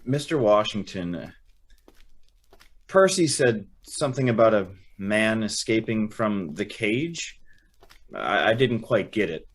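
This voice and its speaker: Naive young male guest for radio drama, played by a man: low register, mild, curious, unassuming. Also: animated, takes it slowly. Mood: curious, polite. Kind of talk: old-time radio drama acting with narration.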